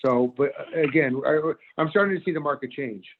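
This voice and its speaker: A man in his sixties from Connecticut, a commercial broker testifying at a remote public hearing, speaking haltingly with many fillers. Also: on the high side, a little hiss or hum. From Norwalk, Connecticut. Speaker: commercial real estate broker. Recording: tinny phone-line audio.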